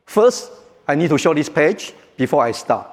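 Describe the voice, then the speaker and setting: Taiwanese-accented guy giving a keynote, kinda high voice, jokey, big echoey hall. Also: lively intonation, a little mellow. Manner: joking, halting, non-native phrasing. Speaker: keynote speaker. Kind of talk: conference keynote talk. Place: large conference hall. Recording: reverberant hall.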